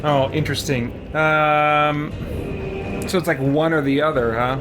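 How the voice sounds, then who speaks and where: A man's voice: a little high, fairly even intonation, measured pace. A man giving casual, reflective let's play commentary, tentative and thinking aloud, with game music behind him. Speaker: game streamer.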